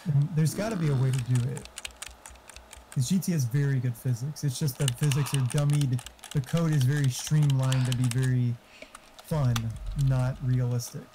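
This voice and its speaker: Male gamer, mid-pitched and very mellow, casual opinionated voice-chat talk, muffled with slight background noise and typing. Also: steady tone, moderate pace. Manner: informal, swearing, opinionated. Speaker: gamer giving computer hardware advice.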